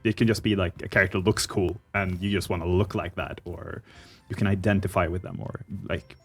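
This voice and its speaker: Halting man in his thirties. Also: deep voice, level tone, breathy edge, soft background music. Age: 30s. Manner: halting, with many fillers and restarts. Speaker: guest. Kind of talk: casual panel chat.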